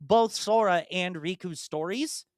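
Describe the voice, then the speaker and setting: Rambling young man, smooth voice. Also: sits high, a little slow, dry recording, studio quality. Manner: rambling, detailed, with many ums and restarts. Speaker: podcast co-host and streamer. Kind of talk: casual chat about video games.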